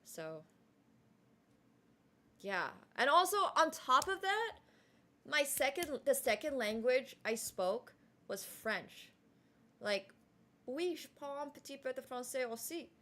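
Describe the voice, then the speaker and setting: A young adult woman whose first language is Mandarin, chatting casually on a live stream and thanking viewers, with frequent "like" fillers and quick restarts. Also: high voice, sing-song, sharp tone.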